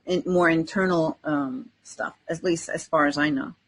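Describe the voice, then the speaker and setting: Husky lady podcast cohost, casual chat, kinda tentative and halting as she thinks stuff through. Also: low-pitched, fairly even intonation. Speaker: podcast co-host.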